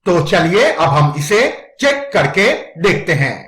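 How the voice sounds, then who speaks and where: A man's voice: fairly high pitch, big pitch swings, takes it slowly, fairly bright tone, echoey room. A man giving a methodical, instructional step-by-step narration for an electronics tutorial.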